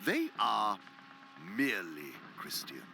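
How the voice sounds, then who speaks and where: A senior demon of radio theatre, very low and swooping widely in pitch. He lectures like an exacting, pompous uncle, precise and theatrical, sometimes sinking to a hushed emphasis. Faint ambient effects sit behind him. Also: wildly swooping pitch, takes it slowly, gravelly, a little hiss or hum, full character voice, low-quality recording. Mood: scheming, emphatic. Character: senior male demon, imperious scholarly uncle, exacting mentor. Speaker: senior demon lecturing his subordinate nephew.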